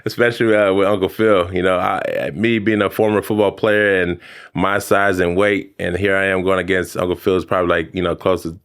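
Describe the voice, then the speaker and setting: A man in his thirties from American Samoa, a podcast guest telling his story in a halting, casual way with many fillers and restarts. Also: low-pitched, flat delivery, fairly bright tone, smooth. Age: 30s. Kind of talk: casual interview chat.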